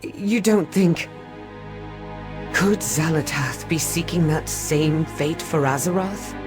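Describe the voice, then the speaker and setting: Low-voiced, slow, worried young heroine. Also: female voice. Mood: alarmed, uncertain. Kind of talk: scripted game dialogue. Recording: clear music behind. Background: music.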